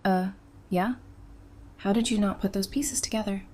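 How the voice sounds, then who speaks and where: A woman playing a wry, quick-witted teacher, speaking casually in an audio drama. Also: mid-range voice, fairly even intonation, darkish tone, lightly acted. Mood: wry, teasing. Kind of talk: fan audio drama dialogue.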